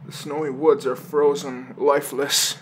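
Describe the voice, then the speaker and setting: An actor playing a sardonic, anxious, foul-mouthed young male survivor who narrates in first person for an audio drama, often halting and stammering. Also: mid-range voice, flat delivery, reverberant, a little hiss or hum, close to an everyday voice, low-quality recording. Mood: grim, weary narration.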